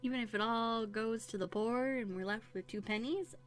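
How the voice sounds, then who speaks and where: A woman's voice: on the high side, normal speed, close to an everyday voice. A woman playing a young runaway princess in a roleplay audio drama, playful and plucky, with a conversational, teasing manner. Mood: teasing, cheerful. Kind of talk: scripted one-sided roleplay audio drama. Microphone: close USB condenser microphone. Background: soft music.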